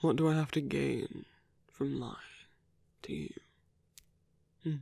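A man playing a smooth, seductive villain in an ASMR roleplay, teasing and coaxing in a breathy voice that often drops into a whisper. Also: mid-range voice, big pitch swings, a little mellow, dry recording, full character voice. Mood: playful, seductive. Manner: slow, teasing, coaxing, with long pauses. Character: smooth seductive male villain tempting a captive hero.

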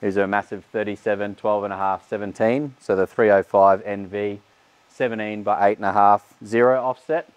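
A man's voice: fairly low pitch, steady tone, moderate pace, smooth. A man, giving a casual walkaround tour of a vehicle workshop with frequent fillers. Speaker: workshop host. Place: large vehicle workshop indoors.

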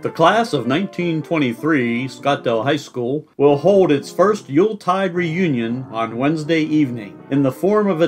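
A man with a fairly high voice reads an old news announcement from a script. Soft plucked music sits behind him. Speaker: reader of newspaper clippings.

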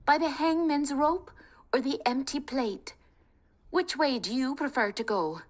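A quick-witted young peasant woman, put on by a woman reading a storybook aloud, her voice lifted high above the narrator's own. She talks in a lively, coaxing way, unhurried and bright in tone. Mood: rallying. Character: clever bold young Irish peasant woman.

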